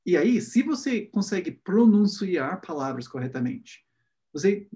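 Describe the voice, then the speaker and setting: A man's voice: high voice, sing-song, a little slow, warm tone. A man whose first language is English, an English teacher hosting a casual chat in Portuguese, playfully acting out words and pronunciations.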